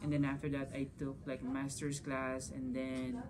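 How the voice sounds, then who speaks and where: A man's voice: medium pitch, completely flat delivery, moderate pace. Male teacher in his 30s, casual interview, camera across the table, background hiss. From Philippines. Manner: gestures while talking, frequent "you know" and "like". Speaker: physics teacher. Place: school conference room.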